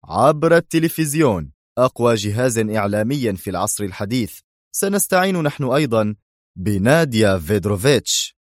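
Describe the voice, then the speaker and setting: An actor playing a hot-tempered crime boss in an audio drama, speaking sharply and commandingly. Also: male voice, on the low side, lively intonation, a little fast, darkish tone, no room sound, studio quality, natural voice. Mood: excited.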